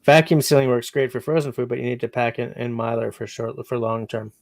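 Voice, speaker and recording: A man's voice: medium pitch, fairly even intonation, a little fast, no room sound, clean studio sound. A man hosting a casual livestream, meandering as he reads out chat comments. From Canada. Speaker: livestream host.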